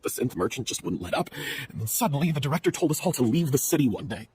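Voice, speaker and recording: Animated, guilt-ridden man played by an actor. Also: mid-range voice, quick pace, a little hiss or hum, close to an everyday voice. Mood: confessing. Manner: earnest, hesitant confessing. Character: guilt-ridden, cowardly male theatre troupe member. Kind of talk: dramatic video game acting.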